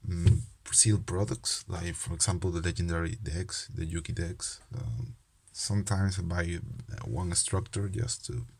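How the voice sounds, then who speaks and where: A man's voice: low voice, vocal fry, darkish tone, breathy edge. A young man from Peru with a Peruvian Spanish accent and Spanish as his first language, hosting his channel in a casual, hesitant way with many fillers and restarts. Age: young adult. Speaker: channel host, medical student. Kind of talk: casual card game video talk.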